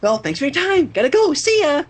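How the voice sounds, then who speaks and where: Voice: high voice, sing-song, brisk, pitched above the performer's own voice. A reader voicing a hyper, cheerful young female cartoon party pony with a bubbly, playful, exclaiming delivery. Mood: cheerful, breezy.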